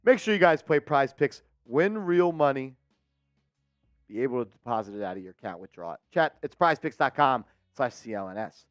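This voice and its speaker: A man hosting a live sports watch-party stream, chatting with viewers, reading the chat and calling plays excitedly. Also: medium pitch, brisk, soft background music.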